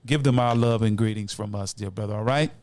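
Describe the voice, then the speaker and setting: A man's voice: lowish voice, a little fast, noisy background. A man of nearly sixty, hosting a community podcast and addressing listeners in an exhortatory way. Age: late 50s, nearly 60. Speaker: podcast host. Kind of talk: community podcast talk with announcements and shout-outs.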